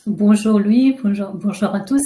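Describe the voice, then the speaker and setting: Austrian woman, German native, chatty guest on an echoey video call, mid pitch. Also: measured pace. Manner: relaxed, chatty storytelling with frequent fillers. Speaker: alumna guest. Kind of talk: webinar interview.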